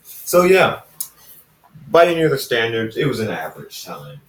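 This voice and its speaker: A man reading a story aloud informally, halting and casual, with dramatic pauses and asides to listeners. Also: mid-range voice, wildly swooping pitch. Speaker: fan fiction narrator reading own recording. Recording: roomy, echoey, distant microphone.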